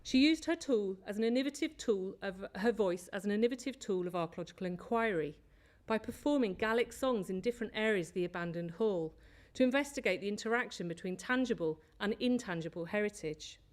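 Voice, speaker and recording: A woman's voice: medium pitch, expressive. Woman giving an academic conference talk. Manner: measured, reads from prepared notes with small slips. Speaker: conference speaker, lecturer. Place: lecture hall.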